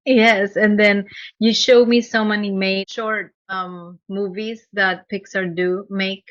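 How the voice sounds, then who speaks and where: A woman hosting a podcast interview, speaking in an earnest, measured way with some hesitant pauses, in slightly dull lo-fi audio. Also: mid-range voice, flat delivery, a bit bright, no echo. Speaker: podcast host. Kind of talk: interview, reading guest bio.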